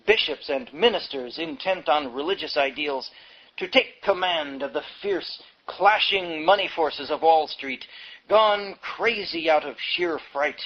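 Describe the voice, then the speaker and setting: A man's voice: a little high, expressive, unhurried, lo-fi sound. A man reading a book aloud in an emphatic, sardonic manner, as an audiobook narrator given to wry delivery. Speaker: audiobook reader.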